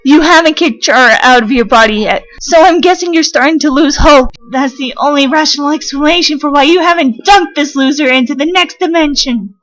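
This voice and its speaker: A woman voicing an earnest, solemn child in a fan comic dub, speaking deliberately with pauses. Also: high-pitched, a bit bright, rough recording. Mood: remorseful, determined. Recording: music under the voice.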